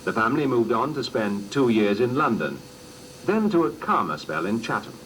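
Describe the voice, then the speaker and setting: A man reading scripted documentary narration in a measured, formal storytelling manner, on an old cassette recording. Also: mid-range voice, very animated, a bit bright, slight background noise, poor audio.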